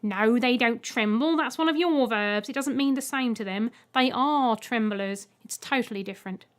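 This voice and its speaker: A woman's voice: fairly high pitch, normal speed, lightly acted. A woman voicing a polite, blunt robot egg in an audiobook, speaking in a formal, literal way. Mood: matter-of-fact. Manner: formal, literal, matter-of-fact. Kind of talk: audiobook character voice.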